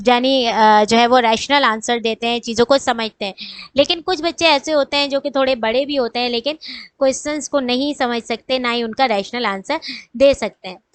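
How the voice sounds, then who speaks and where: A woman's voice: high voice, a bit bright, no echo. A woman with a Pakistani accent giving an explanatory law lecture, posing questions and answering them herself. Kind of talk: explanatory lecture with everyday examples.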